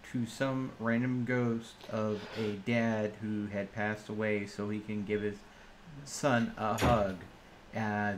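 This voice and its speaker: A player in a tabletop game, narrating their actions casually and bantering in a wry, teasing way. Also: mid-range voice. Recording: clearly noisy mic with clicks.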